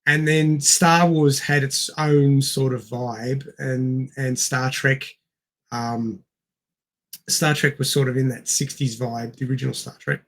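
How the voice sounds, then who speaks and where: A man's voice: mid-range voice, fairly even intonation, unhurried, a bit bright. A middle-aged man co-hosting a podcast, casually discussing film in an explanatory way and repeating words for emphasis. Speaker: podcast co-host.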